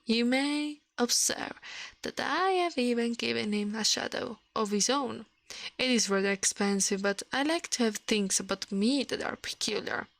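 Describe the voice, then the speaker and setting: A woman reading a fairy tale aloud in a soft ASMR style, careful and a little halting, close to the microphone. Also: fairly high pitch, no echo.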